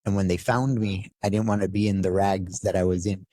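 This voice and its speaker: Podcast guest, man from Costa Rica, deep-ish voice, close dry mic, stop-start storytelling. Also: steady tone, warm tone, no echo. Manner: halting, many fillers and restarts. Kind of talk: interview storytelling.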